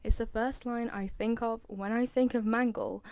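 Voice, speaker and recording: Young woman, video creator, casual fan commentary, chatty, repetitive emphasis, higher-pitched, dry close recording. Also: steady tone, normal speed, a little mellow, dry recording. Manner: chatty, gushing, repeats phrases for emphasis.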